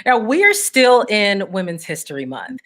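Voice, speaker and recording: A woman hosting an interview, with a polished, steady presenter delivery. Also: mid-range voice, moderate pace. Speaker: host. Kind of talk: scripted then conversational interview hosting.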